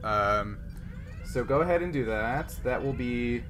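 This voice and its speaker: A man's voice: medium pitch, animated, measured pace. A man running a tabletop game as game master, casually explaining rules in a hesitant, step-by-step way. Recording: noisy background ambience.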